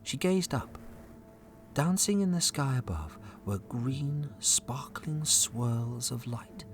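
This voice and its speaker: A man narrating a story dramatically, building suspense with short weighted phrases and pauses. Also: medium pitch, lively intonation, soft background music. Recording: loud storm ambience behind narration.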